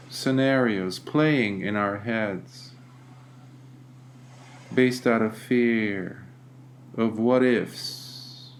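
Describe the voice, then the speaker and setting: A man leading a guided meditation as a teacher, speaking gently and deliberately with long pauses. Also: medium pitch, slight background noise.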